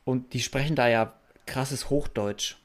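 A young man chatting casually as a podcast co-host, agreeable and quick to laugh. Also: medium pitch. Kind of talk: casual chat.

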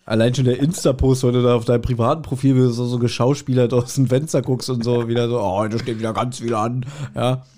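A man's voice: mid-range voice, little pitch movement, fast talker, hardly any pauses, mellow. A man of around forty co-hosting a podcast in casual chat, telling stories in a teasing, mock-indignant way. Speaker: podcast co-host.

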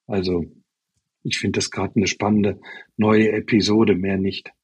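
Husky-voiced chef in his sixties. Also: on the low side, measured pace, no echo, highs cut off. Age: 60s. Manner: relaxed and expansive, with many fillers. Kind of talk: conversational interview answers.

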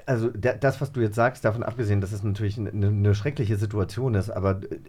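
A man's voice: lowish voice, steady tone, fast, mellow. A man chatting casually as an interviewer on a podcast.

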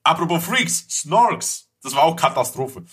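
A man chatting casually as a podcast panelist, with an animated storytelling manner. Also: a little high, unhurried, slightly rough. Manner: animated storyteller, acts out mock quotes and sound bits. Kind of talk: casual podcast chat about childhood cartoons.

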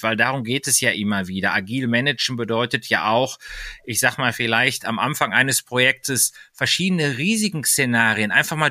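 A man's voice: medium pitch, sharp tone, no echo, clean studio sound. A man hosting a podcast interview, asking long, winding questions full of hesitations. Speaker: podcast host.

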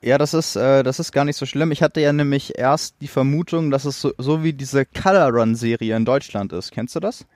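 Podcast guy, lots of uh's and restarts, average pitch, dry mic. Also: normal speed, hardly any pauses, darkish tone, dry recording. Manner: hesitant, many restarts and fillers. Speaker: podcast co-host. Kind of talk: casual chat. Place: home studio.

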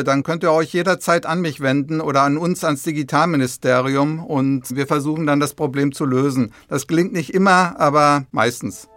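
Higher-voiced man explaining things simply. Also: a bit bright, no echo, studio quality. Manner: patient, plain explanations with simple examples. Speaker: government official, expert guest. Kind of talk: explaining to children in an interview.

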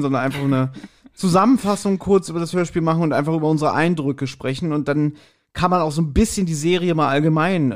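A man talks as a podcast co-host. He is chatty and jokey, rambling along in a relaxed conversational style. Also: a little high. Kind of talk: casual podcast chat.

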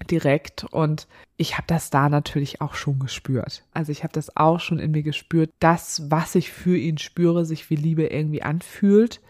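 A woman hosting a solo podcast, talking candidly and chattily with a slightly nasal voice from a blocked nose. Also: sits low. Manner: chatty, candid, laughs often. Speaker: podcast host. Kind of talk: casual solo podcast chat.

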